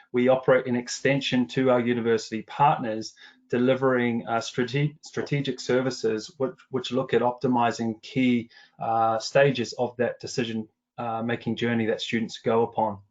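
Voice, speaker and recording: A man's voice: mid-range voice, darkish tone, lo-fi sound. A middle-aged man from New Zealand with a slightly anglicized New Zealand accent, presenting survey findings in a webinar, with frequent ums and restarts. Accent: New Zealand, partly anglicized after years in England. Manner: many fillers and restarts, measured business tone. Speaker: commercial director presenting research.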